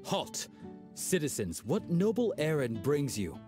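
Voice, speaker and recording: A man's voice: fairly high pitch, big pitch swings, unhurried, over music. A man voicing a formal, dutiful knight guard in a video game. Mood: commanding.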